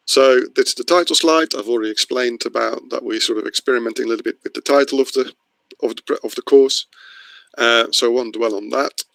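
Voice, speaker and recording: A man's voice: mid-range voice, a little fast, creaky, fairly bright tone, no echo, low-quality recording. A middle-aged man from the Netherlands presenting a webinar, explaining informally and a little hesitantly as he goes. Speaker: course presenter. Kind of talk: webinar introduction, spoken off the cuff. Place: online video meeting.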